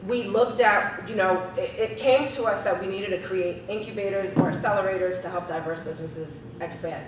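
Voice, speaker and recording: A woman's voice: mid-range voice, normal speed. A woman entrepreneur, speaking confidently and emphatically on a panel, addressing the audience directly. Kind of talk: panel discussion answer. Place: panel event in a hall. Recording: echoey room, distant mic, background noise.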